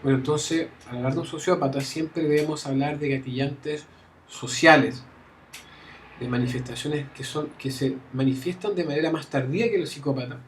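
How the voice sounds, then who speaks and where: A man's voice: a little high, moderate pace, slight background noise, poor audio. A man giving a university lecture, often restarting phrases and checking in with his students. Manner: frequent fillers and restarts, checks understanding with "¿ya?". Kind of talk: classroom lecture. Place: classroom.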